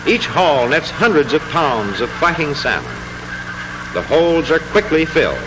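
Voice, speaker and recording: A man narrating a 1940s educational film in a formal, measured, declamatory manner, reading from a script. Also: a little high, sing-song, background noise. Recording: 1940s film soundtrack with music. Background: music.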